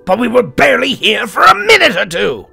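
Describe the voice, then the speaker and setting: A man playing a surly, blustering mad doctor in an audio drama, with a raspy, gravelly voice. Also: very high-pitched, big pitch swings, a little fast, bright, forceful tone, faint music behind, heavily acted. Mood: protesting. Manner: blustering, theatrical. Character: surly, demanding male mad doctor, easily alarmed. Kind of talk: amateur audio drama acting.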